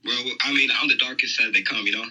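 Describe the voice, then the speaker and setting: A man's voice: mid-range voice, fast, a loud crowd, low-quality recording. A young American man calling in from his phone, talking hesitantly with many fillers. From United States. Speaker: caller. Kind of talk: phone-in conversation.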